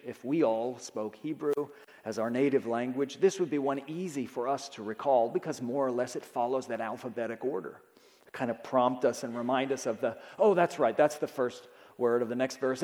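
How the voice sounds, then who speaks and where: A man's voice: medium pitch, darkish tone, long reverb tail. A man preaching a sermon in an earnest, emphatic way, with sudden rises in emphasis. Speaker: pastor.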